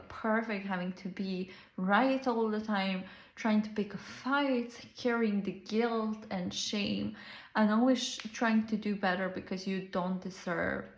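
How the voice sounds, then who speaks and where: A woman with a Serbian accent, a Serbian native speaker, speaks slowly and earnestly to the camera, drawing out her phrases. Her grammar is simple and non-native. Her voice rings in a roomy, echoing space. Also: medium pitch, fairly bright tone. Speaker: life coach. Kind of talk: reflective monologue to camera.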